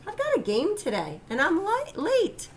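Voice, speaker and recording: A woman's voice: very high voice, huge pitch swings, normal speed, a little hiss or hum, voice pushed up, full character voice. A woman reading the part of an earnest, eager young boy in a children's storybook. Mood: excited.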